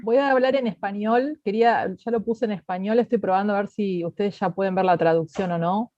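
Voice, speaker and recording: A woman speaker testing interpretation on a call, upbeat and quick. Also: medium pitch, a little mellow, no echo. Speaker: webinar speaker. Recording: dull, dry call audio.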